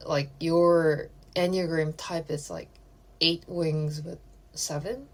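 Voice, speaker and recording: A woman's voice: low-pitched, steady tone, slow pace. A woman whose first language is Korean, co-hosting a casual podcast chat in English, talking hesitantly with many fillers. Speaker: podcast co-host.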